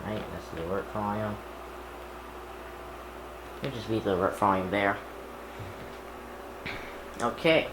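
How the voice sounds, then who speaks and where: Male unboxing vlogger, slightly low register, halting and casual, talking while handling gear; roomy, noisy camera recording. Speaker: YouTuber unboxing and setting up a radio. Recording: echoey room with clear background noise.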